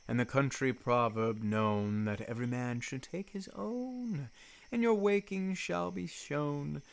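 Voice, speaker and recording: An actor playing a mischievous goblin spirit in a Shakespearean radio play, delivering playful rhymes in a theatrical way. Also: medium pitch. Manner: playful, rhythmic rhyming.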